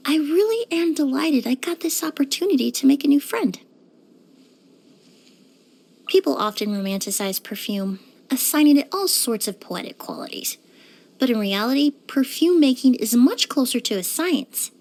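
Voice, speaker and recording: Young female perfumer, video game character, fairly high voice, composed, polite, refined, measured articulate delivery. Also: lively intonation, gravelly, darkish tone. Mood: pleased, reflective. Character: young woman perfumer, poised, polite, quietly curious.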